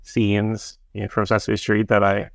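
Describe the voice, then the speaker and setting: A man co-hosts a podcast, talking haltingly and often pausing on uh. His low voice has a gravelly, fry-laden edge. The recording is close and completely dry. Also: monotone, dry recording. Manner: halting, restarts with ums and uhs. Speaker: podcast co-host. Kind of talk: casual chat.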